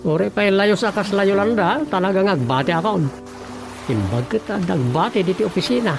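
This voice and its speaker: A man's voice: high voice, animated, normal speed, gravelly, music in the background. A man with an Ilocano accent playing a grumpy, stubborn councilman who grumbles and exclaims in a radio comedy drama. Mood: stubborn refusal. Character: grumpy, stubborn village councilman, comic lead.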